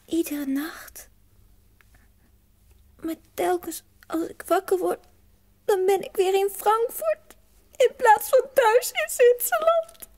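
A homesick little girl in a children's radio play. The voice is extremely high and childlike, slow and plaintive, in a dry recording. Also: darkish tone, no echo. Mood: homesick, wistful.

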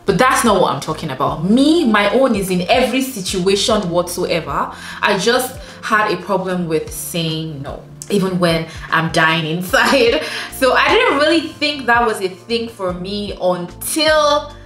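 A woman's voice: medium pitch, animated, a little slow, a bit bright. Frank young woman vlogger, roomy sound. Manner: frank and emphatic, addresses viewers as sis, laughs easily. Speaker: lifestyle vlogger. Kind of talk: candid direct-to-camera advice. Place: home room indoors. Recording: roomy echo with faint music.

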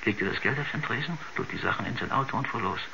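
A rambling village shopkeeper in a radio play, hesitant and stammering. His voice is very sharp and forceful with a gravelly creak. There is some hiss on the recording. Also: mid-range voice, expressive, fast, full character voice. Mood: uneasy, puzzled. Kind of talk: 1970s science-fiction radio drama acting. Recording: dull, noisy 1970s radio recording.

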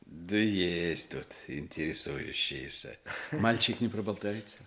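An actor playing a small, sly, ingratiating shoemaker in a radio play, unctuous and hesitant, with little chuckles. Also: male voice, deep voice, expressive, takes it slowly, sharp tone, heavily acted. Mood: guarded, probing. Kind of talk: Soviet radio play acting.

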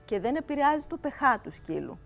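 A woman's voice: sits high, big pitch swings, gravelly, a little mellow, soft background music. A woman in her forties, a grooming salon owner, talking eagerly in a TV studio interview on a lapel mic.

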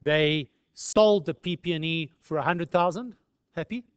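A man's voice: a little high, unhurried, clean tone, dry recording, muffled recording. A South African man lecturing in an informal tutorial style, conversational and peppered with rhetorical questions. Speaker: accounting lecturer.

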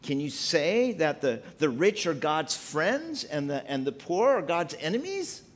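A man's voice: big pitch swings, measured pace. A man in his fifties preaching a sermon from a church pulpit, emphatic and gesturing as he speaks. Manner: preaching with wide pitch swings, emphatic and gesturing. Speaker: pastor. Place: church sanctuary at a pulpit, indoors.

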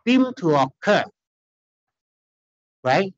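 A middle-aged man with a Chinese accent and Chinese as his first language, testifying at a formal hearing in halting, earnest, insistent English from a lectern. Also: sits high, sing-song, dull audio. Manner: halting non-native phrasing, earnest and insistent. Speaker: applicant appealing a denial. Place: large hearing room, standing at a lectern.

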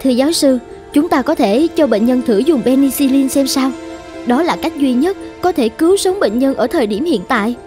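A young cartoon medical assistant, loyal and earnest. Her voice is high, with an urgent, emphatic delivery and music underneath. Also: expressive, moderate pace, warm tone. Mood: urgent pleading. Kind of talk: dramatic animated-film dubbing.

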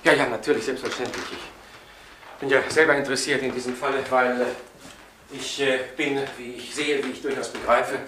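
An actor playing an evasive, indignant doctor under suspicion in a German crime radio drama, hesitant and repeating himself. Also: male voice, mid-range voice, fairly even intonation, moderate pace, natural voice. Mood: flustered. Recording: roomy echo with faint background noise.

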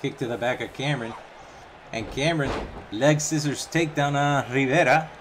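A young man doing play-by-play commentary over a wrestling video game, chatty and casual between calls and getting excited on the big moves. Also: mid-range voice, moderate pace, noisy background. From Puerto Rican background. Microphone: home microphone, voice-over on game footage.

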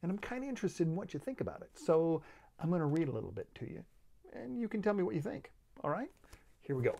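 A man in his fifties giving a dramatic storybook reading, deliberate and expressive with dramatic pauses. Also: fairly high pitch, creaky. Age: 50s. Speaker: author reading aloud. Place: book-lined room at home.

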